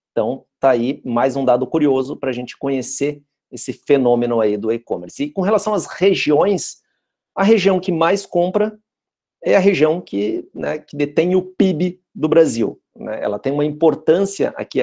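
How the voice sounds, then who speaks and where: A Brazilian man presents slides in an online lecture, his voice warm and relaxed. He speaks conversationally, often checking in with his listeners. The sound carries the plainness of a video call. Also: fairly high pitch. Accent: Brazilian Portuguese. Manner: conversational, frequent tag questions, restarts. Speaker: guest lecturer.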